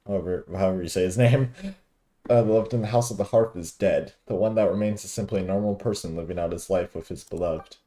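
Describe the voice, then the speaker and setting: A man's voice: low-pitched, fast talker, warm tone. A man who streams games, casually reacting and reading dialogue aloud. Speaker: gaming streamer.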